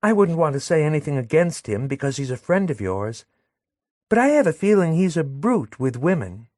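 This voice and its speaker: Man doing a high, sing-song young woman, sugary and spiteful, audiobook character. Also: sing-song, normal speed, a little mellow, no echo, studio quality. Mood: catty. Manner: sweet, wheedling and catty. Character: coy jealous young woman, cooing to her lover.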